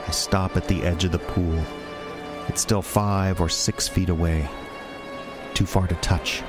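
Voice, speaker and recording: An actor playing a dazed office man in a radio play monologue, speaking in halting, deliberate short phrases. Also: low-pitched, fairly even intonation, over music. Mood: dazed, fixated. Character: dazed office man haunted by a moose, slow and hushed.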